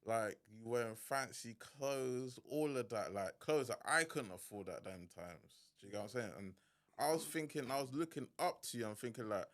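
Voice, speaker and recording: A man's voice: medium pitch, moderate pace. A male podcast host with a middle-range voice, chatting loosely with a guest. His talk is full of slang and easy fillers as he leads the conversation. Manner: laid-back slang-heavy chat, hype intro. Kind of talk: casual podcast chat.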